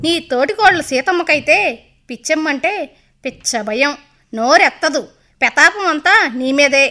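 Woman doing village gossip lady, high sharp voice, coastal andhra accent, blunt, radio drama style. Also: expressive, normal speed. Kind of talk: Telugu village audio drama.

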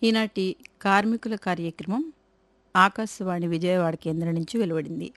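A woman's voice: lowish voice, steady tone, moderate pace, no room sound. A woman station announcer reading a formal sign-off.

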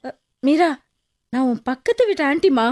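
A woman's voice: sits high, expressive, normal speed, a little mellow. A woman acting a middle-aged neighbour who fusses and calls out in alarm. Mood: worried. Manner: fussing, alarmed calling out. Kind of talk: radio-style drama acting.

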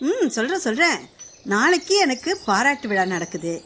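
A woman's voice: on the high side, very animated, normal speed, a bit bright, slight background noise. A woman playing a proud, touchy young factory owner in a scripted drama, speaking emphatically and formally. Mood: friendly, teasing. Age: young adult.

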